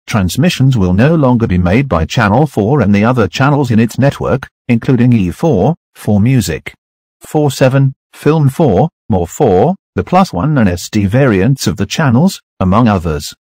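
A synthetic-sounding man reading a scripted emergency broadcast announcement in a flat, formal way. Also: medium pitch, normal speed, slightly rough, no room sound, clean studio sound.

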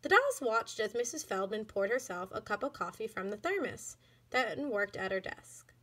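A woman reading a children's book aloud like a schoolteacher, in an expressive, deliberate manner. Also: medium pitch. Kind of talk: children's storybook narration.

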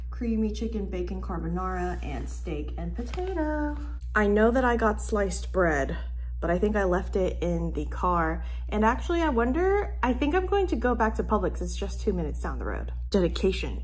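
A woman's voice: lowish voice, slight background noise. A young woman in her twenties chatting upbeat to her phone camera as she vlogs her day, thinking aloud as she goes. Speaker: vlogger. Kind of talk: casual vlog chat. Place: car, store and home kitchen. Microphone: phone camera at arm's length.

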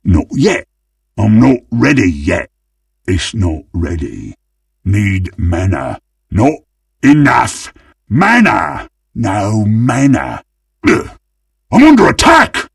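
A man voicing a greedy, gleeful, monstrous undead butcher in a video game, with a gravelly, husky British-accented voice and a menacing, theatrical manner. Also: on the low side, sing-song, extremely slow, pauses often, no echo, clean studio sound, recorded from a distance, full character voice. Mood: frustrated. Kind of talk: video game character voice lines.

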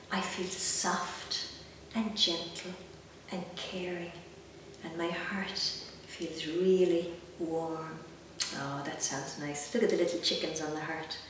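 Irish woman, sixties, gentle children's story reader with chatty asides; very echoey room, distant mic. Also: mid-range voice. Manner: gentle, reassuring, simple explanations addressed to young children. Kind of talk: picture-book reading with chatty asides to children. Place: small indoor room with bookshelves. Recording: roomy echo, distant mic, background noise.

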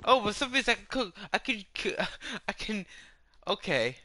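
A young man chatting excitedly while playing a video game, yelping at in-game mishaps. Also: high voice, moderate pace, no room sound. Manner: excitable, yelps at in-game hazards. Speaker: gamer. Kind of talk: casual gaming chat.

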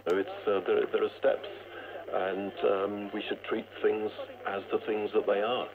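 A man's voice: on the low side, little pitch movement, measured pace, over a noisy crowd. A man talking on the radio, heard through a radio receiver. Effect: radio reception. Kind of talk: radio talk. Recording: tinny, noisy long-distance radio reception.

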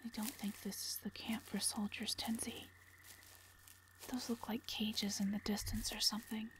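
Woman acting a hushed, wary fighter woman. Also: mid-range voice, little pitch movement, moderate pace, in a whisper, lightly acted, rough recording. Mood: hushed, uneasy. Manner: firm, hushed, terse. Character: wary, commanding armed woman scouting a camp. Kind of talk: audio roleplay drama acting.

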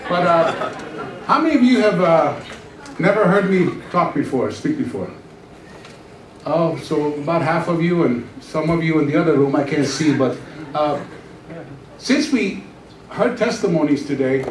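A man in his sixties, originally from Iran, tells his testimony from the pulpit with easy humor, his voice sitting a little high for a man. He speaks into a handheld mic, but the camera catches him from across a roomy church hall, so the sound is distant and echoing. A steady hum of background noise sits under every line. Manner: storytelling, joking, animated gestures while pacing the stage. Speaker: pastor. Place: church stage, large room. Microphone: handheld microphone. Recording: echoey church hall from far off.

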